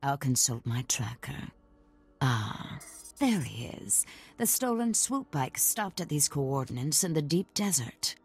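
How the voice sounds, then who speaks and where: A no-nonsense tracker woman in a video game, deep husky voice, composed. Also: sing-song, unhurried, exaggerated voice. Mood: businesslike. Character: matter-of-fact female local ally tracking thieves.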